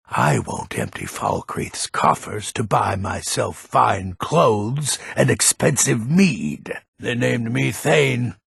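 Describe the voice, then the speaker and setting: A heavily gravelled, very hoarse-edged old lord from a fantasy game. He is proud and grumpy, blustering in slow, weighty phrases that swing widely in pitch. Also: a bit bright, no echo, studio quality, full character voice. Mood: bitter, proud. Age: older. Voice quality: gravelly, raspy. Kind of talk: video game character voice acting.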